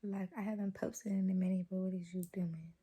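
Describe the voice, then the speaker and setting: A young woman vlogger with a naturally low voice, chatting casually to a camera held close to her face, talking softly and rambling with lots of fillers. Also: fairly even intonation, brisk, husky. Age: young adult.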